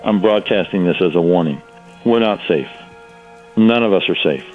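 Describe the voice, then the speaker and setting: A man playing a grave radio operator sending an urgent, halting warning through a radio filter in an audio drama. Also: low-pitched. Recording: muffled lo-fi radio with music.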